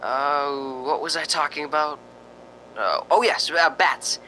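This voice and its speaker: Man acting a jittery, bright-voiced radio reporter. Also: a little high, a little slow, a little hiss or hum, low-quality recording. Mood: uneasy, rambling. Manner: nervous, stammering, rambling with frequent sighing ohs. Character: jittery, lonely radio reporter broadcasting from a haunted house. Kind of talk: spooky radio drama acting.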